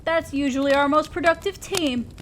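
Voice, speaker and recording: A woman's voice: high voice, moderate pace, slight background noise, natural voice. A woman voicing a stern, condescending female demon lord in a scripted roleplay audio drama, speaking as an exacting boss of hell. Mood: impatient, bossy. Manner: commanding, condescending, scolding.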